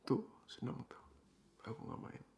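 A young man in a boyfriend roleplay, talking softly and coaxingly in a half-whisper, dropping English phrases into his speech. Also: a little slow.

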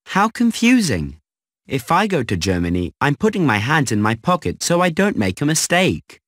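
A woman's voice: deep voice, very animated, moderate pace, dry recording, studio quality. A woman voicing a curious young friend who asks questions in a textbook dialogue.

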